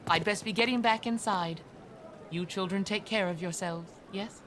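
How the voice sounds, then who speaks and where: A woman's voice: medium pitch, normal speed, close to an everyday voice. A woman playing a kindly, protective middle-aged housekeeper in an audio drama, motherly and earnest. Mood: warm. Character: kindly older housekeeper, a protective former matron. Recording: clearly noisy background ambience.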